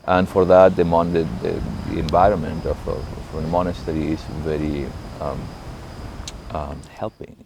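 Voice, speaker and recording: A middle-aged man with an Argentine Spanish accent, a monk speaking in a halting, thoughtful way and often pausing to search for words. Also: low voice, level tone, vocal fry, noisy background. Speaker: monk, abbot of a monastery. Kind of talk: reflective spoken talk to camera.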